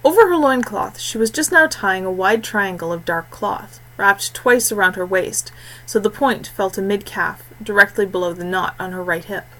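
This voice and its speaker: A woman narrating a scripted fantasy story in a measured, expressive way with deliberate phrasing. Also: medium pitch, low-quality recording. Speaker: audiobook narrator.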